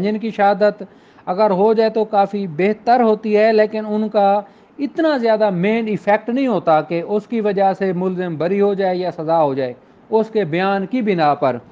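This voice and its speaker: High-voiced man lecturing, muffled recording. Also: a little mellow. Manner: didactic, reads English phrases slowly and deliberately. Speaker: law lecturer. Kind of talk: explanatory law lecture with examples, code-switching into English.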